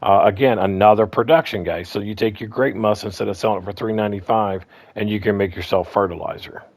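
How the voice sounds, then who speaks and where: A man with a slightly hoarse voice walking through his game farm in a casual, rambling way, full of ums and restarts. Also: fairly low pitch, steady tone, fast, no echo. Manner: rambling, repeats himself, lots of ums and restarts. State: slightly hoarse, clearing throat. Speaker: gaming guide host. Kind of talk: casual gameplay walkthrough.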